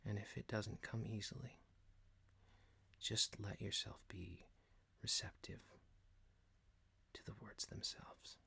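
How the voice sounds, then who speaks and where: Guy playing a gentle boss guy doing asmr guided meditation, low breathy whispery voice with gravelly fry, soothing. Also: fairly even intonation, full character voice. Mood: soothing, instructive. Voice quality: breathy, hushed. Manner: slow, deliberate, gentle.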